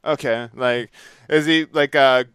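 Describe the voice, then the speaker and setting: A man's voice: medium pitch, fairly even intonation, measured pace, fairly bright tone, clear, resonant tone, no room sound, clean studio sound. A man hosting a podcast in casual, easygoing and agreeable conversation. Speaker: podcast host. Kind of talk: casual interview chat.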